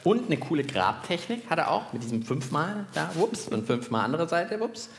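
A man co-hosting a live science podcast for children, chatting in an informal, animated way. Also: a little high, normal speed, gravelly. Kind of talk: casual chat on a live children's show. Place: indoor live podcast festival stage. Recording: echoey live venue, faint noise.

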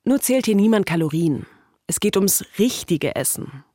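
A woman's voice: mid-range voice, lively intonation, moderate pace, dark tone, no echo, studio quality. A woman in her thirties narrating a scripted documentary podcast as its host. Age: 30s.

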